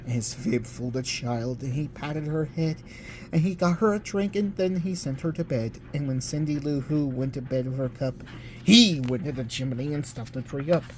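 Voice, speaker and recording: A narrator giving a theatrical, expressive read-aloud of a rhyming children's story. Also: mid-range voice, normal speed, a little hiss or hum, low-quality recording.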